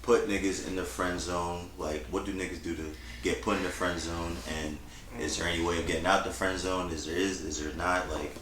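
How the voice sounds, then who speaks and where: A man's voice: low voice, fairly even intonation, brisk. A man in his twenties hosting a casual podcast chat, laid-back and full of slang, leading the questions. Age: 20s. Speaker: podcast host. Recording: echoey room with clear noise.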